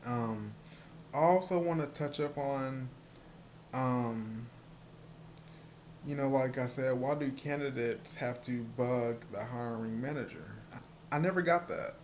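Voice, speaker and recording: A man with a soft voice, stuttering and repeating words as he talks casually to the camera in a personal vlog. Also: medium pitch, a little hiss or hum. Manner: stuttering, halting with many repeated words and fillers. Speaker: vlogger.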